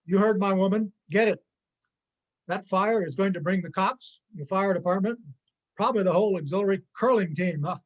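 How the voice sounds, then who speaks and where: A vengeful robber played by a man in an amateur play reading. His voice sits high for a man, and he talks in a halting, sneering, threatening way. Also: poor audio. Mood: menacing. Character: menacing, sarcastic male gangster robber, jumpy and vengeful. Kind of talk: amateur reader's theater acting.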